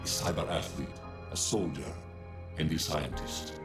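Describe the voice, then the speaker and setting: A solemn storyteller for a science fiction game. His voice is very low and grave, with measured, portentous delivery. Loud music runs under every phrase. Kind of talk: scripted cinematic narration.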